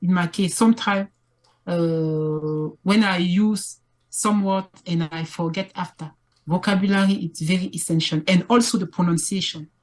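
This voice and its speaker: A woman learning English, speaking haltingly and restarting words. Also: lowish voice, steady tone.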